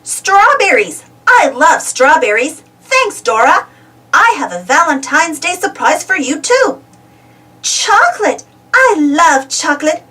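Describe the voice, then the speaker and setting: A woman voicing an excited, friendly young male monkey in a children's storybook, eager and exclamatory. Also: sits high, slow pace, recorded from a distance, heavily acted. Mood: delighted. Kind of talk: children's storybook read-aloud.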